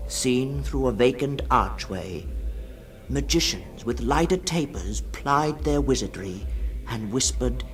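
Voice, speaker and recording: A man giving a solemn, dramatic literary reading in the style of 1960s radio, swinging between hushed and ringing tones. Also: medium pitch, expressive, slow. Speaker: storyteller, reader. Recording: 1960s radio production with music behind. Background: music.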